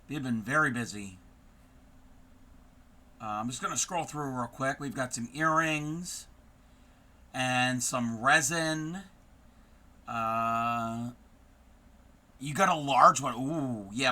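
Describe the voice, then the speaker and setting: Hoarse man with a very bright voice. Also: mid-range voice. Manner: chatty, greets viewers by name, frequent ums. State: hoarse, losing his voice. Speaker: craft live-streamer host. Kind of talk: casual live-stream chat.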